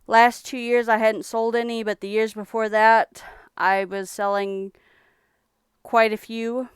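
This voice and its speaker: A young woman from Texas talks in a relaxed, rambling way while showing off her thrift finds. Her voice is strikingly clear and smooth. It is recorded close up, with a dry sound. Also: fairly high pitch, measured pace, no room sound. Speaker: streamer reselling thrift finds. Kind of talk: casual live-stream chat.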